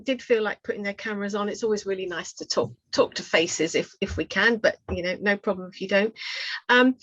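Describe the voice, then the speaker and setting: A woman with a slightly high voice talks in a friendly, chatty way. She gives an informal introduction over a video call with a slightly muffled sound. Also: a bit bright. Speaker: oral historian and sports coach. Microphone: video call microphone.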